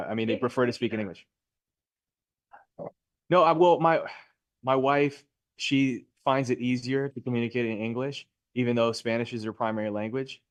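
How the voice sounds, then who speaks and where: A man's voice: medium pitch, no echo. A man teaching a live class, upbeat and chatty, asking his students questions. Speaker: real estate instructor.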